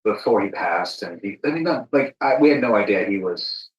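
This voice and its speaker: Low-voiced male podcast host in an echoey room. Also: lively intonation, fairly quick, dull audio. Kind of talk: conversational podcast interview.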